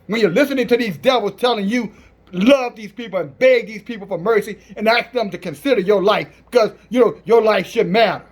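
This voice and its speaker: A man preaching in a strong, loud voice, reading scripture and commenting on it in a declamatory, defiant manner. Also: high-pitched, fairly quick. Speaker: Hebrew Israelite preacher.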